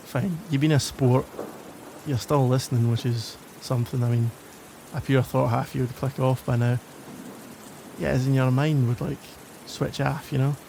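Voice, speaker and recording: A man's voice: mid-range voice, steady tone, moderate pace, vocal fry, background noise, close to an everyday voice. A man with a Glaswegian accent playing a flirty, grumbly stranger in a conversational ASMR roleplay, wry and self-deprecating. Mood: teasing, wry. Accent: Glaswegian Scottish.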